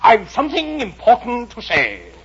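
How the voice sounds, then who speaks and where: A man's voice: medium pitch, very animated, measured pace, gravelly, sharp tone, slight background noise, voice pushed up, exaggerated voice. A man voicing a stern, unpleasant caterpillar who makes curt demands, as part of an acted storybook reading. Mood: commanding. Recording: dull lo-fi vintage soundtrack, faint music.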